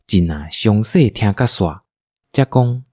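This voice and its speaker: A man's voice: on the low side, animated, takes it slowly, a little mellow, breathy edge, no room sound, studio quality. A man with a Taiwanese accent narrating a scripted audio drama as its storyteller.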